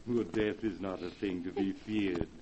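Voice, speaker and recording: A man's voice: low-pitched, steady tone, mellow, highs cut off. A man playing the solemn, menacing ghost of a dead uncle in a 1940s radio horror drama. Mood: ominous beckoning. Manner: slow, solemn, ominous. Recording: noisy 1940s radio recording.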